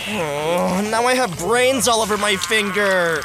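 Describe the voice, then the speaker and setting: A man playing an excitable, wisecracking, squeamish deputy in a comedic zombie audio drama. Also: high-pitched, a little slow, noisy background. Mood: amazed, squeamish. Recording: loud music behind the voice.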